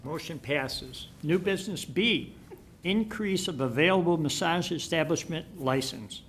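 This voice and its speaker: A gravelly, somewhat higher-pitched man chairs a village board meeting. He moves through motions and agenda items briskly. A faint low rumble sits under the recording. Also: a bit bright. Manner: brisk procedural delivery.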